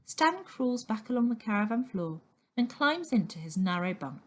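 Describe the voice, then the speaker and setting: A woman's voice: on the high side, big pitch swings, normal speed. A woman reading a children's novel aloud as scripted narration. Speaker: story reader.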